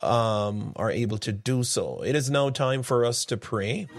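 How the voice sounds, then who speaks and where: A man's voice: mid-range voice, a little slow, no room sound, studio quality. A man speaking as a pastor on a live devotional stream, exhorting and addressing his viewers directly with repeated phrases. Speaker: pastor hosting a live morning prayer stream. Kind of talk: live devotional preaching and chat. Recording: voice over loud background music. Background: loud instrumental music.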